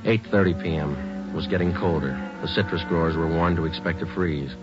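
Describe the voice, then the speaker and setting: A man playing a steady American police sergeant who narrates his case in a clipped, matter-of-fact way in a 1940s radio drama. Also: low-pitched, brisk, over music, lightly acted. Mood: deadpan, factual. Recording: muffled old 1940s radio recording.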